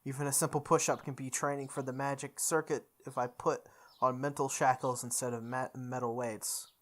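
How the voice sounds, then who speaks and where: A woman playing through a visual novel, reading its text aloud with expressive, goofy casual commentary, sometimes correcting her stumbles. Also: extremely low pitch, normal speed. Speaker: host of a visual novel playthrough.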